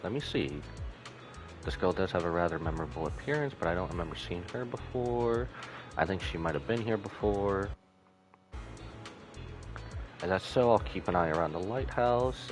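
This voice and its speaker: A man voicing a cautious, scolding old man who guards a lighthouse in a video game. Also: sits low. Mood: calmer, helpful. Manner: stern, admonishing.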